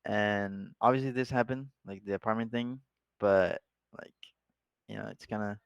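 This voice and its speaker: A man's voice: lowish voice, fairly even intonation, normal speed, gravelly, clear, resonant tone, no room sound, muffled recording. A young man from Mexico with a Mexican Spanish accent, a guest chatting casually in an interview, laid-back with lots of "like" and laughter. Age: young adult. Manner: laid-back, laughs often, many fillers. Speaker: guest, esports player.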